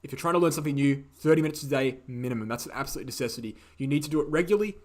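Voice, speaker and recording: A man hosting a live question-and-answer stream, speaking in a casual, opinionated way, repeating key phrases for emphasis, his voice slightly croaky. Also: medium pitch, rapid-fire, a little mellow. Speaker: host answering viewer questions. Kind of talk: casual livestream Q&A.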